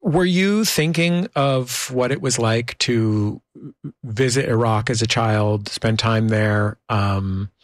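American radio interviewer, close and dry. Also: male voice, mid-range voice, takes it slowly, no room sound. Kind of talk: conversational arts interview with scripted introduction.

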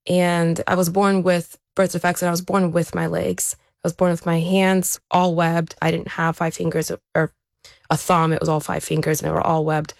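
A woman's voice: on the low side, level tone, normal speed, gravelly, no room sound. A woman who is an athlete, giving a reflective personal account as an interview guest. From born in Ukraine, raised there to age seven, then America.

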